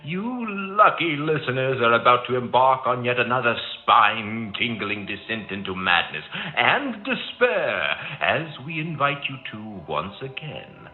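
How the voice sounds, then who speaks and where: A grandiose, gleefully macabre horror announcer, savoring every line at a slow pace. The delivery is theatrical and pompous, swooping in pitch, through a narrow old-radio sound. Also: male voice. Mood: ominous. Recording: thin phone-like old-radio sound over music.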